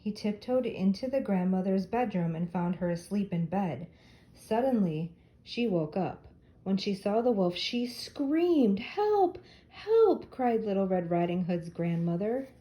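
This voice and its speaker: An older woman reads a children's story aloud. Her tone is very mellow and dark, at a middling pitch, in the role of storyteller. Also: animated, measured pace. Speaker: storyteller grandmother reading to children.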